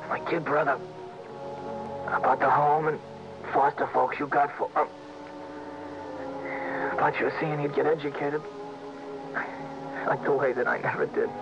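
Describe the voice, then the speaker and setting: A dying convict in an old American radio drama, bitter yet grateful. His voice is creaky and weary, halting through rough, uneducated phrases. The sound is narrow and dated, with music clearly behind him. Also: a little high, steady tone. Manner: halting, weary, uneducated tough-guy speech. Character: dying early-thirties convict safecracker, bitter but grateful. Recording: band-limited vintage radio recording with music.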